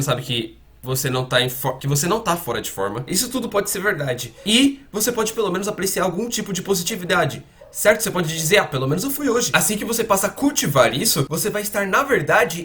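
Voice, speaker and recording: A man's voice: a little high, a bit bright. A man with a Brazilian accent dubbing an earnest psychiatrist coach, explaining conversationally and emphatically with repeated words and rhetorical questions. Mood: emphatic teaching. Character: earnest male psychiatrist coaching gamers on habits. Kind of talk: conversational self-help explainer, dubbed.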